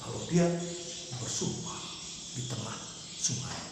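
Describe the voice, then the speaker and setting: A man telling a folk legend in a halting, repetitive oral storytelling manner. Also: medium pitch, expressive. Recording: echoey room with loud background noise.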